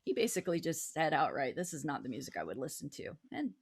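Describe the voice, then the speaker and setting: A woman's voice: sits low, very animated, quick pace, husky. A woman co-hosting a casual music talk show, chatting in a jokey, self-deprecating way. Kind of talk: casual music and fan chat.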